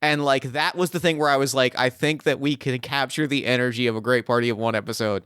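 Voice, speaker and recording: A man hosting a casual podcast chat, talking in an excitable, gushing, rambling way. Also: mid-range voice, fast talker, clear, resonant tone, no room sound, clean studio sound. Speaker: podcast host.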